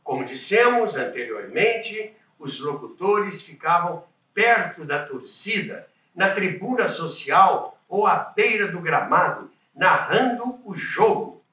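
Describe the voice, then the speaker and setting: A Brazilian man with a high-set, bright voice reads scripted documentary narration at an unhurried pace. He tells it like a storyteller, with emphasis, in a roomy space away from the microphone. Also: expressive. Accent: Brazilian Portuguese. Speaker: podcast host and narrator of radio history. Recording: roomy echo, distant from microphone.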